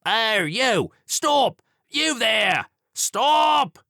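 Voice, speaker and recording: A man voicing a commanding, shouting policeman in an audiobook. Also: very high-pitched, sing-song, drawn-out words, creaky, dry recording, clean studio sound, pitched above the performer's own voice, exaggerated voice. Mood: urgent, commanding. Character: shouting male bobby, beefy, commanding policeman. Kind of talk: audiobook character acting.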